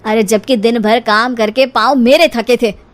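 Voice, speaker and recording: A woman's voice: a little high, fairly even intonation, measured pace, close to an everyday voice. Woman voicing a tired, complaining young husband. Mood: exasperated.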